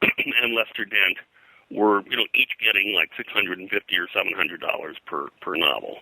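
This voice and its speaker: An American man with a lowish, sharply bright voice, recorded dry and close. He talks as an expert guest in an interview, piling up details and drifting into side stories as he goes. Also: normal speed, vocal fry, dry recording, rough recording. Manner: rambling, digressive, detail-heavy. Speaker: interview guest, pop culture historian and publisher. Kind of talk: conversational interview, telling detailed anecdotes.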